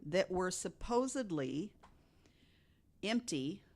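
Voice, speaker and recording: A woman telling stories conversationally as a podcast guest, with deliberate, emphatic phrasing. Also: fairly low pitch, sing-song, crowd noise. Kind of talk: conversational storytelling of ghost stories.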